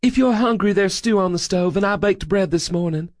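A man voicing a gentle, hospitable woman preacher with a soothing, courteous manner. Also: sits high, a little fast, no room sound, clean studio sound, pitched above the performer's own voice, natural voice. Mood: welcoming.

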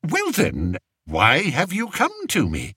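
A vain young king, as a man puts him on, fussy and impatient, his voice jumping high and bright. The lines swing up and down with airy grandeur. It sits in a dry storybook reading. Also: wildly swooping pitch, takes it slowly, no echo, full character voice. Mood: haughty, impatient.

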